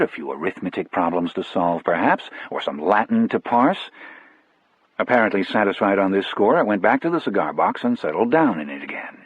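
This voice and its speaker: Husky male audiobook narrator, low-pitched. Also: dry recording, phone-quality sound. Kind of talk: scripted first-person story narration.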